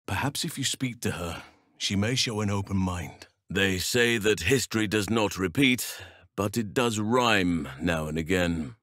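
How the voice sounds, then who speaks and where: An actor playing a burly middle-aged male elf druid in a fantasy game, earnest and gentle, with measured, formal phrasing. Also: fairly low pitch, dry recording, studio quality. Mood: grave, gentle. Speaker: video game character actor.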